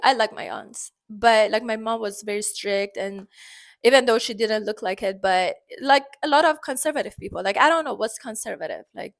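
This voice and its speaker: A woman from Iran with an Iranian accent, speaking English as a second language, chatting expressively in a casual vlog full of fillers and sudden pitch jumps. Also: moderate pace. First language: Farsi. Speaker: vlogger.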